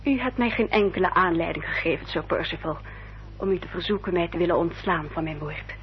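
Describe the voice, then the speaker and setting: A woman acting an earnest, dutiful young upper-class lady in a radio drama, speaking formally and with careful, measured diction. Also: fairly low pitch, lightly acted. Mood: solemn, composed. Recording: dull, noisy old radio recording with hum.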